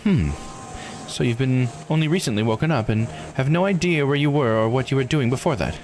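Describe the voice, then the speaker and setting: A man's voice: mid-range voice, brisk, over music, voice pushed up, natural voice. A man voicing a shrewd, self-assured investigator in a visual novel, probing and insistent yet composed. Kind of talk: visual novel character read-aloud.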